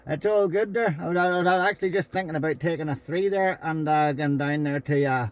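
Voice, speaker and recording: Male radio operator, high-pitched, casual chat, muffled lo-fi transmission. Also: a little fast, no room sound. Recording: dull lo-fi two-way radio transmission.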